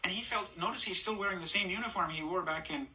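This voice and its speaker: Older male storybook reader, high-ish, very bright voice; chatty, asks the kids questions between pages. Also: fast, rough recording. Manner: warm, chatty, stops to ask children questions and point at pictures. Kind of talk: reading a picture book aloud to children.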